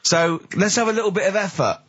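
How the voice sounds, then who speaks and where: Man, radio host, rough husky voice, teasing comic banter, mock indignation, dull lo-fi sound. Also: sits high, fast talker, a bit bright. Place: radio studio.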